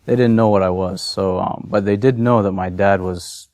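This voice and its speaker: A man's voice: deep voice, fairly even intonation, normal speed, darkish tone, no echo. A young man from Massachusetts with an American accent, giving an informal personal testimony in a hesitant, reflective, conversational way. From Massachusetts, United States. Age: young adult. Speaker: convert telling personal story.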